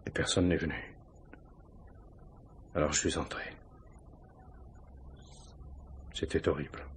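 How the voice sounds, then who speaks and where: An actor playing an anxious middle-aged married man, husky and halting, confessing to a police inspector in a 1950s-style French radio crime drama. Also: a bass voice, fairly bright tone, a little hiss or hum. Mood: distressed. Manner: hesitant, self-justifying, halting.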